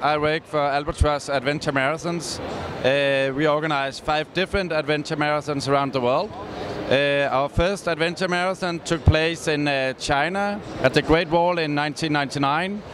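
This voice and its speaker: A man in his thirties representing a race company, answering in a relaxed way with short answers and fillers, speaking into a handheld mic at a busy expo. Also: a little high, normal speed. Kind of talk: expo interview. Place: busy indoor expo hall. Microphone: handheld mic close-up. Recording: noisy expo hall background.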